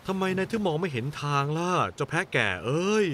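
A man's voice: high voice, lively intonation, brisk, heavy background noise. An actor voicing a young, good-natured male castaway in a cartoon dub, easygoing and chatty with animals. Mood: cheerful. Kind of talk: cartoon dubbing.

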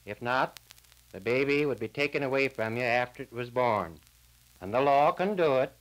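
An actor playing an earnest small-town man delivering a verdict in a measured, plain-spoken way, on a crackly 1940s radio drama disc. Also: medium pitch, animated, fairly bright tone, natural voice. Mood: solemn, reasoning.

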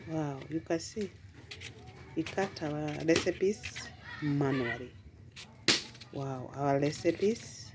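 A middle-aged woman with a Kenyan accent, hosting a casual village cooking vlog outdoors and exclaiming "wow" often. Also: deep voice. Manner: enthusiastic, repeats "wow". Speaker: vlogger. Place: outdoors in a rural village yard. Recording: loud outdoor background noise.

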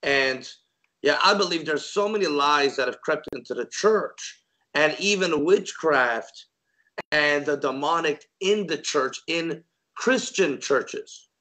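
A man's voice: on the high side, expressive, takes it slowly, sharp tone. A man in his thirties hosting an interview, encouraging his guest with affirming interjections. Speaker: host interviewer.

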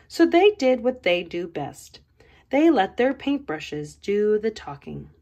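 A woman reads a children's picture book aloud. Her fairly high voice swoops and rises with every line, and she takes her time with the words. The reading is expressive and playful, in the manner of an art teacher sharing a story with a class.

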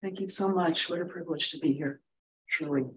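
A woman, a conductor whose first language is Ukrainian, giving a measured academic talk on a panel in a seminar room. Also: deep voice, far from the mic, rough recording. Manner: measured, precise lecturing.

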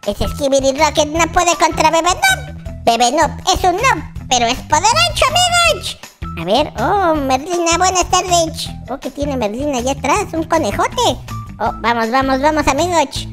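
A performer voicing an excitable, boastful but kind baby boy game character with a squeaky childlike voice, exclaiming and repeating words as gameplay roleplay commentary. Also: unhurried. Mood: eager, confident. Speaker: gaming channel host. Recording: music behind the voice. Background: children's music.

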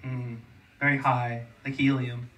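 A young man co-hosting a live podcast on a club stage, teasing his partner with quick side remarks and frequent laughs. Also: mid-range voice, level tone, sharp tone, far from the mic, a little hiss or hum, poor audio. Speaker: podcast co-host. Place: comedy club stage before an audience. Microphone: handheld mic.

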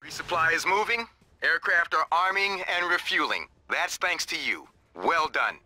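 High-voiced no-nonsense field commander. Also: male voice, lively intonation, moderate pace, bright, forceful tone, no room sound, exaggerated voice. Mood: approving. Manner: clipped, authoritative. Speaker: military commander. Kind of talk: scripted mission briefing.

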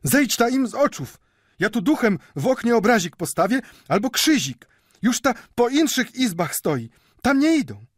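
A man voicing a protective, rustic caretaker woman in an audiobook, shouting commands in folksy dialect. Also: very high voice, sing-song, moderate pace, husky, dry recording, clean studio sound, voice pushed up, full character voice. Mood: urgent, commanding.

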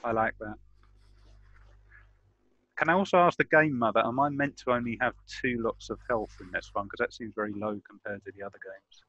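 A man's voice: medium pitch, fairly quick, a bit bright, no echo, dull audio. A man chatting casually as a player in an online tabletop game over a group call. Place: indoors at home, on a video call.

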